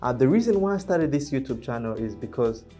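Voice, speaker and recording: Guy vlogging in english as his second language, mid pitch, lots of uh and you know, restarts his words, quiet reserved way of talking, soft music under it. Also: lively intonation. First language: not English. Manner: halting, many fillers and self-corrections. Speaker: real estate agent and vlogger. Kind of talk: casual talking-to-camera vlog.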